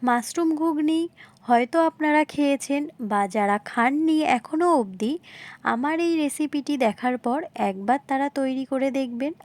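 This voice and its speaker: A woman with a high voice gives a recipe voice-over. She explains each point politely, often restating it, and speaks straight to her viewers. The sound is close and dry. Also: moderate pace, a little mellow, no echo. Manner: polite, repetitive explaining, addressing viewers directly. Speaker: home cooking video host. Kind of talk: recipe narration, instructional voice-over.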